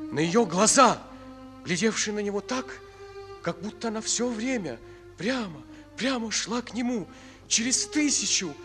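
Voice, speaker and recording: A man narrating a radio drama in a solemn, deliberate, theatrical style. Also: high voice, a bit bright. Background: music.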